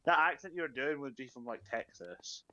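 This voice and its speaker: A British man talking easily through a co-op game session and gently ribbing his friends. His pitch is middling. The sound is a little dull and lo-fi. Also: expressive, moderate pace, dry recording. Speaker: guest player. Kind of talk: casual gaming chat.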